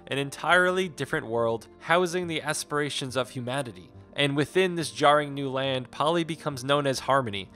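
A man reading a scripted game review in a measured, formal way. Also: mid-range voice, fairly bright tone. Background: background music.

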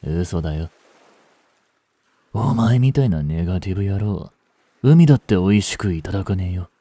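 A man playing a young delinquent in a voice drama, listless and blunt, with rough slangy talk and a caring streak. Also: sits low, expressive, normal speed, dark tone, dry recording. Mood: teasing, blunt. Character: gruff, languid young male delinquent, secretly caring. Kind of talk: Japanese voice drama for female listeners.

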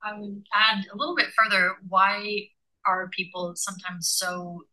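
Slow, bright-voiced woman participant. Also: mid-range voice, fairly even intonation, low-quality recording. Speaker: workshop participant.

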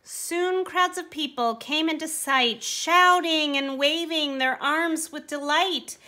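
Slow, bright woman reading a storybook. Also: sits high. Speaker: storybook reader. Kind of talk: expressive read-aloud of rhyming children's picture book.

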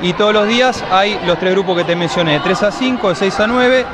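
Middle-aged man, Argentine accent, high voice, measured with hesitant fillers, loud kids behind. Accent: Argentine Spanish. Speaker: gymnastics school coordinator. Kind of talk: explanatory interview answers. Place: indoor gymnastics hall with children. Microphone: handheld mic held by interviewer. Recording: loud crowd and noise behind.

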